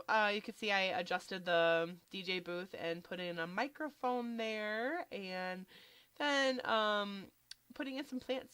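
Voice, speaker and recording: A woman with a slight cold, a little nasal, casually narrating a game build in a relaxed, chatty way with lots of fillers. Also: mid-range voice, clean tone, dry recording. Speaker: game building video creator.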